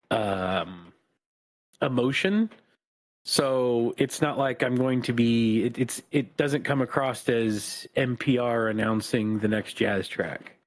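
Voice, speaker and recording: A man co-hosting a podcast, chatting casually in a calm, even-tempered voice that is not raspy. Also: medium pitch. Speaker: podcast co-host. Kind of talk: casual chat.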